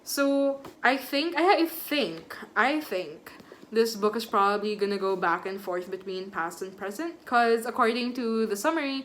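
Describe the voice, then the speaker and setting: A woman's voice: on the high side, fairly bright tone, a little hiss or hum. A woman in her twenties from the Philippines, chatting casually and self-deprecatingly as a book vlogger at home. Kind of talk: casual chat.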